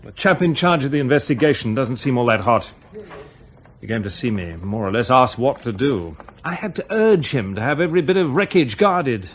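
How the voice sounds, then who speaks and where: An actor playing a troubled, earnest airline captain in a naturalistic radio drama. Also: male voice, medium pitch, animated, moderate pace, close to an everyday voice. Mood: worried, frustrated.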